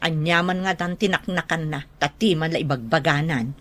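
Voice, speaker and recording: A woman's voice: deep voice, normal speed, a bit bright. A woman with a Filipino Ilocano accent playing a matter-of-fact, middle-aged mother in a radio drama. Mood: dismissive.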